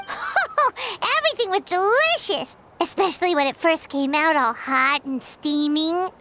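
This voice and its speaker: A woman voicing a tiny, chatty, excitable fairy companion in a video game, childlike and exclamatory. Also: very high-pitched, a little slow, full character voice. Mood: excited. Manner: refers to self in third person, exclamatory. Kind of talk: animated video game voice acting.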